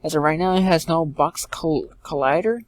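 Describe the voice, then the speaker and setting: A man giving an informal step-by-step software tutorial in a halting way, repeating and correcting himself as he goes. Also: on the high side, no room sound. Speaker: tutorial presenter. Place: indoors, screen-recording voice-over.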